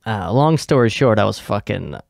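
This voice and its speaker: A man's voice: fairly low pitch, animated, moderate pace, gravelly, no echo, studio quality. A tired young man, a podcast co-host, rambling in a laid-back, profane, casual way, with lots of fillers and trailing thoughts.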